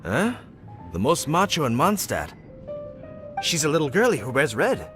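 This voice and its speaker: Flamboyant, lilting bard. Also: male voice, a little high, wildly swooping pitch, normal speed, a bit bright, soft background music. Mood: eager, dramatic. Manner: flamboyant, overfamiliar. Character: soulful, flamboyant male bard, friendly and self-dramatising. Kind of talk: English video game dub.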